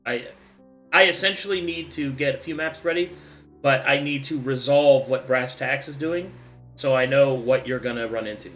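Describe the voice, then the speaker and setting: A man as game master, winding down a tabletop session in casual chat and breaking into loud laughing shouts. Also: mid-range voice, moderate pace, soft background music.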